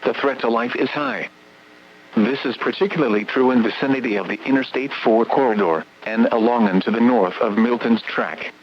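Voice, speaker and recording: A man's voice: mid-range voice, normal speed. A synthetic American male voice formally reading a scripted emergency weather bulletin over weather radio, impersonal like an automated announcer. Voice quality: synthetic text-to-speech voice. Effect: weather radio broadcast. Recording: muffled, noisy weather radio reception.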